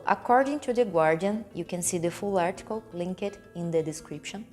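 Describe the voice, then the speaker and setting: A woman from Brazil, a non-native English speaker with a Brazilian Portuguese accent, hosting a relaxed English lesson and explaining words slowly and carefully. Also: mid-range voice. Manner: slow and careful, stresses and repeats key words. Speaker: English teacher and channel host.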